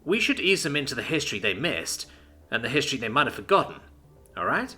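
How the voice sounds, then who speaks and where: A man reading a first-person story aloud in an animated way. His pitch swings very widely and his voice is bright and forceful. Faint music plays under the narration. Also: fast, breathy edge. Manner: animated, acting out characters.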